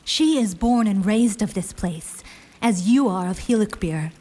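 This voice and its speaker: A polite young war hero in a fantasy radio play. Her voice is husky and slightly breathy. She picks formal words with care and keeps her guard up. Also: medium pitch, expressive, unhurried, mellow, rough recording. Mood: guarded pleading. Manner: careful, courteous, formal. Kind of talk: fantasy audio drama acting.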